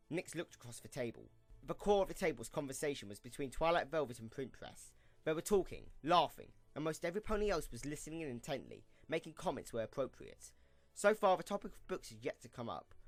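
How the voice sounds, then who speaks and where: A man's voice: fairly high pitch, sing-song, brisk, no echo, soft background music. A man reading scripted story narration as the narrator of an audio drama.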